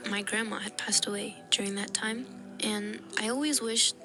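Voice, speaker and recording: A young adult woman telling stories to camera in a casual, animated way, with frequent laughs and fillers. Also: medium pitch, normal speed. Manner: animated and giggly, with many fillers. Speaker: vlogger. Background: background music.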